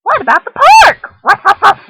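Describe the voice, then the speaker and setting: A woman voicing a nagging female talking dog in a storybook, loud and exaggerated, with barks mixed into her words. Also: very high-pitched, wildly swooping pitch, normal speed, very noisy, voice pushed up, full character voice. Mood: eager. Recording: muffled lo-fi laptop webcam audio.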